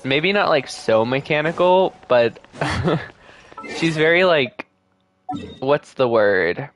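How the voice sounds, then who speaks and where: A male game streamer chats casually and thinks out loud as he plays. His fairly high voice moves around a lot, at an unhurried, drawn-out pace. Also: lively intonation, soft background music. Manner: chatty, thinking aloud. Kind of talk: casual gameplay commentary.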